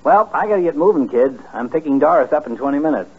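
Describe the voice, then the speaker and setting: Muffled old radio audio features a jovial American bachelor doctor in a 1950s comedy. He is courtly, teasing and lovestruck, with a husky voice that swings up and down. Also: a little high, lively intonation, fast talker, heavily acted. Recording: muffled, band-limited old radio recording.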